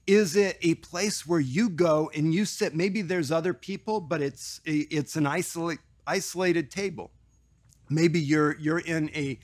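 A man in his forties giving a casual, animated teaching to camera from a small room, with a conversational manner and the odd restart. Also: fairly high pitch, dry recording. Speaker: ministry teacher on dream interpretation. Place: small room with shelves, indoors.

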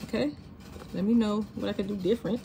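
Raspy-voiced woman chatting through a craft tutorial. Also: mid-range voice, fast, mellow, faint music behind. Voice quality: slightly raspy. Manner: chatty, talks to viewers as y'all. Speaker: crafter teaching shoe decorating. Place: craft studio with sewing and embroidery machines. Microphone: camera over worktable.

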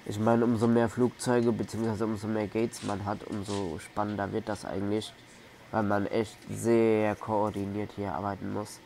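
A man hosts a casual let's play with a low, gravelly voice. He rambles in colloquial fashion and circles back over his points. Faint noise runs under the recording. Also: little pitch movement, moderate pace, darkish tone. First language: German. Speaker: gaming video host.

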